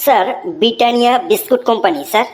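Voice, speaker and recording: A woman's voice: on the high side, very fast, over music, lightly acted. A woman voicing cheeky, smart-mouthed young pupils answering a teacher with mock respect in a comic cartoon. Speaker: cartoon voice actor. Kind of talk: comic Bengali cartoon dialogue.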